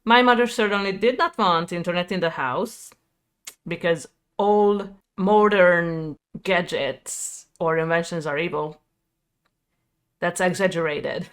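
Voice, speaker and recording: Slow-paced Hungarian woman with a clear voice. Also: fairly low pitch, fairly bright tone. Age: 30s. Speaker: video creator. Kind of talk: personal storytime to viewers.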